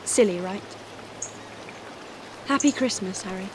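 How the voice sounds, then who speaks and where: A woman playing a shy, soft-hearted teenage witch in a video game, gentle and hesitant. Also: medium pitch, measured pace, very noisy, natural voice. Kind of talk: video game cutscene acting. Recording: loud music behind.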